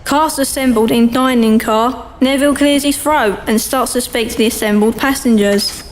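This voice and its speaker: A woman's voice: fairly high pitch, normal speed. A woman reading scripted narration for an amateur radio play, as the storyteller. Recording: faint background noise.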